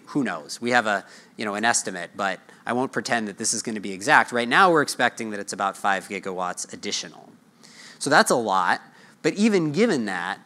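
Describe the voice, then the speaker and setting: A man's voice: mid-range voice, bright, forceful tone. An American man in his thirties giving a conference keynote in a conversational way, posing and answering his own questions, on a lapel mic. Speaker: market research analyst giving a keynote. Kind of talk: conference presentation. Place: large hotel ballroom conference stage.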